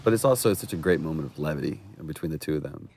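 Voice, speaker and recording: Male actor on commentary: deep bass register, expressive melody, casual, reflective, appreciative. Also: very fast, slight background noise. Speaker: actor commenting on his game.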